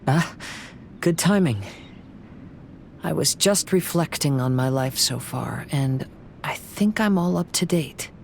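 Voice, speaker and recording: Slow, serene young samurai wanderer. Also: male voice, fairly high pitch, expressive, gravelly, slight background noise, full character voice. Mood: calm. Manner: gentle, measured, poetic.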